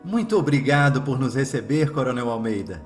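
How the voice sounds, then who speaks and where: A man's voice: medium pitch, animated, soft background music, natural voice. Man playing a serene, devout teacher, echoey. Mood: courteous. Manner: measured, serene, earnest. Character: calm, devout, reasonable young schoolteacher and Spiritist. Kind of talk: religious radio drama acting. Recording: echoey room.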